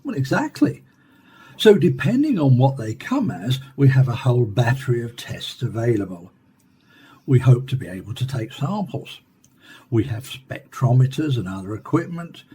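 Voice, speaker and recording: A man plays an academic expert in a science fiction radio play. His voice is mellow and mid-pitched, and he explains things in a formal, deliberate way. There is faint background noise around him. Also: natural voice. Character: measured male Cambridge professor explaining alien attacks.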